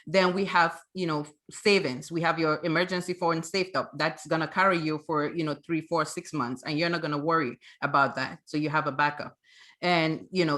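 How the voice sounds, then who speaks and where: Woman, financial coach as webinar guest. Low-pitched, bright and forceful, emphatic conversational explainer. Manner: emphatic, checks with rhetorical "right?".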